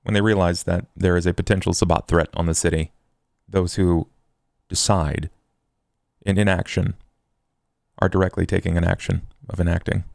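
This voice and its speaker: A man plays a towering, measured vampire in a tabletop horror game. His voice is low and gravelly with a flat, formal delivery, recorded dry and close. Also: dry recording. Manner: formal, deliberate, even. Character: very tall, calm, measured male vampire.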